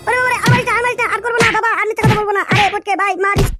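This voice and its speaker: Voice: very high voice, fairly even intonation, very fast, pushed and bright, no echo, clean studio sound, faint music behind. A performer voicing a cowardly young village man, whining and begging in an exaggerated comic Bengali drama style. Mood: pleading.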